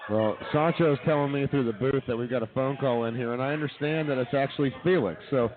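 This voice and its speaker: Fast-talking male radio host, tinny sound. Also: medium pitch. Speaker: comedy radio show host. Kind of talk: casual live radio banter. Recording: tinny narrow phone-like sound.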